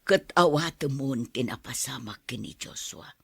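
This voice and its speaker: A woman playing a gentle, worried mother in an audio drama, consoling in a hushed, pleading way. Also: very deep voice, animated, moderate pace, creaky, slightly rough, no echo, studio quality, exaggerated voice. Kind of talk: soft audio drama acting.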